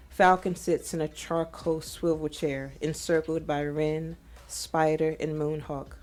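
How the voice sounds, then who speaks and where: A woman reading scripted audio drama narration in a measured, descriptive manner. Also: low-pitched, a little mellow.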